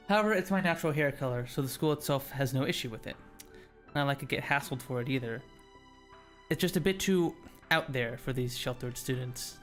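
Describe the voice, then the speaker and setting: A man with a mid-range voice hosts a casual visual novel playthrough, switching between reading the story aloud and chatting. He halts a little over unfamiliar words, with music playing faintly behind him. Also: mid-range voice. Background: background game music.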